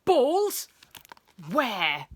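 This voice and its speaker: A woman voicing a pompous, flustered male schoolteacher in a storybook, booming and repeating words frantically. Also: sing-song, extremely slow, fairly bright tone, heavily acted. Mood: blustering outrage.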